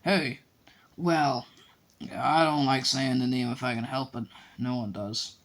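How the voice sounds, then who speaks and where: A man's voice: medium pitch, fairly even intonation, measured pace, a bit bright. A man voicing a huge, kind-hearted giant of a man who talks bluntly and folksily. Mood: worried. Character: huge gruff kindly man, rough-spoken giant.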